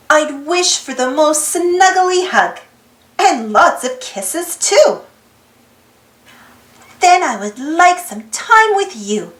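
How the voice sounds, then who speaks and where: A woman reads a children's storybook aloud at an unhurried pace. Her high voice swoops expressively in a singsong way. The room adds some echo, with music playing behind her. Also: a bit bright. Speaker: storybook reader, channel host.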